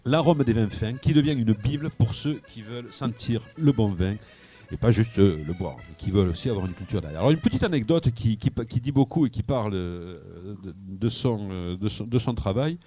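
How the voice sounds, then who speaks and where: A man's voice: on the low side, expressive, a little fast, dark tone, soft background music. A man telling a wine anecdote on live radio from a wine shop, with frequent hesitations and repeated words. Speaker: wine columnist. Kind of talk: radio wine chronicle, storytelling.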